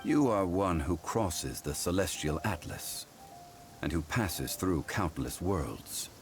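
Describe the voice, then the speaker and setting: An actor voicing an ancient god in human form, a calm and dignified scholarly man who speaks formally and without hurry, with game music underneath. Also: low voice, heavily acted. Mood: solemn. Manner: measured, formal and unhurried. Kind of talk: game cutscene voice acting.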